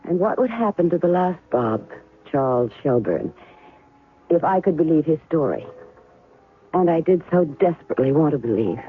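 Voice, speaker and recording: An actress playing a shrewd woman caught up in spy intrigue, asking quick, sharp questions and narrating her own story. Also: deep voice, expressive. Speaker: narrator and heroine. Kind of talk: 1970s radio drama acting. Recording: narrow 1970s radio broadcast, faint music.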